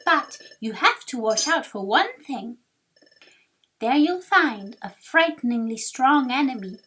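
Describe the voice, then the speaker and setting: A woman's voice: high voice, expressive, measured pace, bright, forceful tone, exaggerated voice, rough recording. A woman voicing a formal guild deputy in a video game, giving orders in an instructing manner. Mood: stern, instructing.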